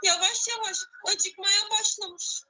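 A woman teacher telling a story to children in an animated, expressive way, with drawn-out repetitions. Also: very high-pitched, low-quality recording. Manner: animated, drawn-out repetitions, addressing young listeners.

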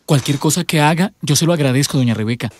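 An actor playing an earnest, worried young boyfriend in a radio drama. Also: male voice, on the high side, fast talker, lightly acted. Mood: pleading. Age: young adult.

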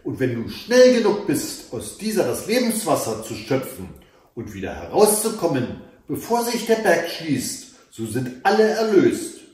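A man's voice: a little high, very animated, unhurried, darkish tone. A man voicing a magical captured bird in a fairy tale, giving emphatic warnings and instructions. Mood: urgent warning. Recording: echoey room, mic at a distance.

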